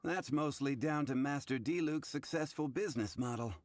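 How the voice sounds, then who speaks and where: A man's voice: a little high, moderate pace, natural voice. A man playing a blunt, sardonic tavern bartender in an anime video game. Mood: matter-of-fact. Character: blunt, wry male tavern bartender, adult. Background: music.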